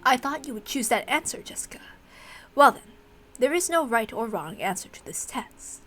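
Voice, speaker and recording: A woman voicing a polite, suave male demon butler who speaks formally in a measured, condescending and cruelly amused way, as in a visual novel. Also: a little high, lively intonation, fairly bright tone. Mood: polite menace.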